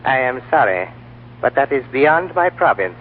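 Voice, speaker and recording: A serene, formal lamasery official, tinny recording. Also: male voice, medium pitch, big pitch swings. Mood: calm, courteous. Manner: formal, measured, deliberate phrasing. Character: serene, courteous, evasive male lamasery official. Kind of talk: 1940s radio drama acting. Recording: tinny old radio, humming noise.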